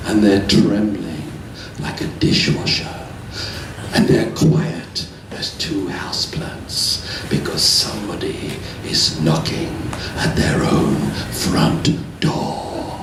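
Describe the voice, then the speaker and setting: A man's voice: low-pitched, takes it slowly. A man reading spooky poetry aloud at a live event with a theatrical, dramatic delivery. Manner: dramatic, swinging from hushed suspense to loud chanting. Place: live poetry event indoors, heard from a distance. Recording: roomy live venue, distant mic, faint noise.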